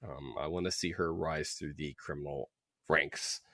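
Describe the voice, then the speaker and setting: A man's voice: a bass voice, fairly even intonation, normal speed, creaky, sharp tone, no room sound, studio quality. A man co-hosting a podcast, chatting casually and enthusiastically about books. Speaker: podcast co-host. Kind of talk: casual book club podcast chat.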